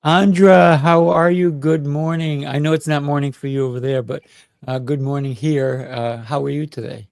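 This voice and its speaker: A man's voice: fairly high pitch, fairly even intonation, moderate pace, creaky, no echo, clean studio sound. A middle-aged man hosting a podcast interview, chatty and encouraging, with lots of affirming interjections. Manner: warm, encouraging, with frequent affirmations. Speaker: podcast host. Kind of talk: conversational interview.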